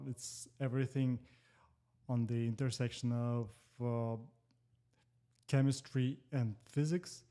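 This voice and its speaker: Slow, mellow Moldovan man. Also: mid-range voice, clear, resonant tone. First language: Russian. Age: 40s. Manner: slow, careful phrasing with pauses. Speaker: guest. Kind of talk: casual interview.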